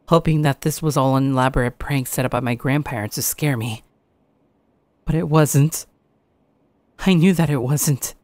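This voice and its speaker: Low-voiced anxious grandchild, played by a woman. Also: darkish tone, dry recording. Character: anxious young grandchild narrating a horror tale. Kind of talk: first-person scripted horror narration with acted dialogue.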